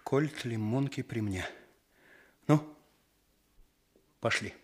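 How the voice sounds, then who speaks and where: An actor playing an earnest, modest young police officer in a radio play, with a brisk, boyish way of talking. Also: male voice, mid-range voice, over music, close to an everyday voice.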